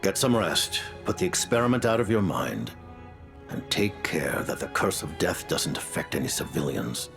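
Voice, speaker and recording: An actor playing a grave, stately older leader in a video game, speaking formally and solemnly in a gravelly voice. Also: male voice, animated, moderate pace, music in the background, heavily acted. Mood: grave, commanding. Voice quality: deep, gravelly. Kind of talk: theatrical video game voice acting.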